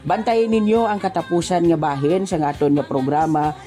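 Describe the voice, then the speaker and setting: A man's voice: a little high, normal speed, dark tone. A man with a Western Visayan Filipino accent, co-hosting a radio show with chatty, joking banter. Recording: music behind the voice. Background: music.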